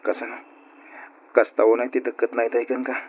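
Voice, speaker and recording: A man's voice: mid-range voice, monotone, moderate pace, a little mellow, husky, close to an everyday voice. A man playing a devoted, jealous young student boyfriend in an old-style radio drama, on a dull lo-fi recording. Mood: uneasy.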